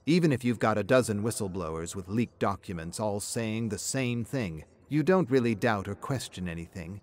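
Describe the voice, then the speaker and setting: A man's voice: on the low side, lively intonation, moderate pace, close to an everyday voice. A man voicing an anxious, grieving middle-aged woman in a story, speaking in a hesitant, wistful way. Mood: bitter, wry.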